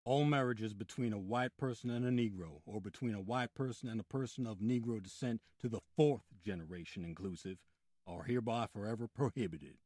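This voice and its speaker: A man's voice: lowish voice, a little fast, darkish tone, no room sound, clean studio sound. A man reading historical legal text aloud in a formal, declaratory manner.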